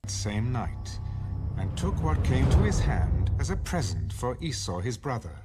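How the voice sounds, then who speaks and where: A man's voice: medium pitch, big pitch swings, a little slow. A man narrating scripture as a scripted, dramatic audio Bible reading. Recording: music bed under the voice.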